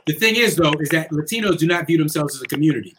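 High-voiced man in a noisy room. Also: racing. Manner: profane, emphatic, animated. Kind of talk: casual heated discussion. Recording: noisy background with keyboard clicks.

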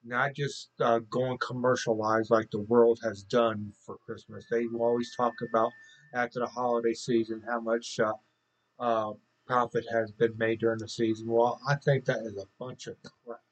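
A man co-hosting a faith podcast, talking casually and off the cuff in halting phrases. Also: mid-range voice, flat delivery, rough recording. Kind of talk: casual unscripted talk.

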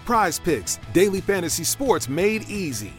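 Male commercial announcer, high pitch, scripted sales read over light music. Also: sing-song, a little slow, fairly bright tone. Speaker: advertisement announcer. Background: faint music.

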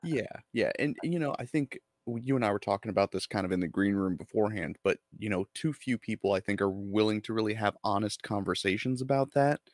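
A man's voice: fairly low pitch, a little fast, hardly any pauses, no echo, studio quality. A man co-hosting a casual hobby show, easygoing and given to stammered restarts. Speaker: co-host.

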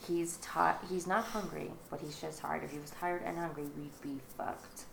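A woman's voice: low voice, fairly even intonation, moderate pace, vocal fry. A young woman co-hosting a podcast, sarcastic and swearing freely, making mock threats at a friend. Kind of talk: casual banter among friends. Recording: echoey room with faint noise.

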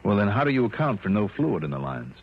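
A deep-voiced, sharp investigator from a 1960s radio drama. He fires off clipped, dry questions with lively swings in tone. The old recording is dull. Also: fast, breathy edge, heavily acted. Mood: businesslike. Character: shrewd, brisk male insurance investigator, dry and probing. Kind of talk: 1960s radio detective acting.

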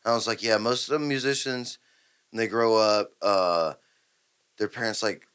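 A man's voice: fairly low pitch, steady tone, moderate pace, fairly bright tone, smooth. Laid-back man hosting, easy laughs. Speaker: podcast host. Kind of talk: casual podcast chat.

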